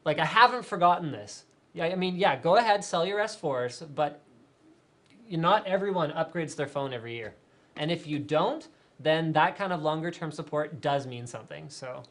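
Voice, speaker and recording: A man's voice: a little high, moderate pace. A man hosting a live tech show, chatting casually and answering viewer questions with lots of fillers. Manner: energetic, chatty, many fillers.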